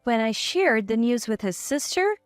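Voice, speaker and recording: A woman's voice: fairly high pitch, very animated, normal speed, dry recording, faint music behind. A woman reading a scripted first-person story as its narrator.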